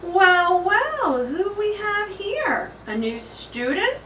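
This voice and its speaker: A big jovial storybook janitor man, acted by a woman, hearty and joking, pushed up high and loud with a bright edge. It is heard in an echoey room, a bit away from the mic. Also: very animated, slow, heavily acted. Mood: amused surprise. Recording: roomy echo, distant mic, faint noise.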